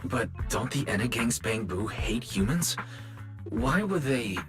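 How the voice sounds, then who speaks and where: A man's voice: mid-range voice, unhurried, music in the background, natural voice. A man playing an earnest, inquisitive young detective in a video game, wary and questioning. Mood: puzzled. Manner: questioning, expressive, puzzled. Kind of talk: video game story voice acting.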